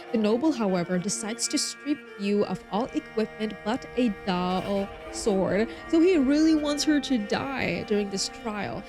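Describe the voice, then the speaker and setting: A woman's voice: mid-range voice, low-quality recording. A woman hosting a game livestream, expressively reading story text aloud and chatting with viewers in bubbly asides. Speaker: streamer and host.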